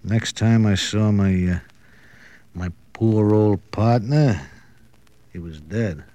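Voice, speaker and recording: A bitter, lovesick drunk in a classic radio crime drama. The voice is low and mellow, rambling along with slurred, maudlin self-pity. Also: male voice, slow, no echo, lo-fi sound. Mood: agitated confession. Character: boozy, lovesick, bitter washed-up nightclub co-owner.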